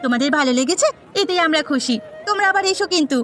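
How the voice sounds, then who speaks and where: Woman voicing a very high, bright cartoon village girl. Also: lively intonation, rapid-fire, soft background music. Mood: cheerful. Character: earnest, eager young village sisters selling snacks. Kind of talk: Bengali cartoon voice acting.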